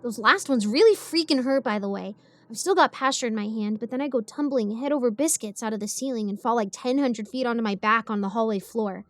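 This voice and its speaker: A woman voicing an excitable, defiant ten-year-old girl who tells her own story with rambling, indignant asides. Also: fairly high pitch, fairly quick, no echo, close to an everyday voice. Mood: rambling, bemused. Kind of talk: first-person scripted story narration.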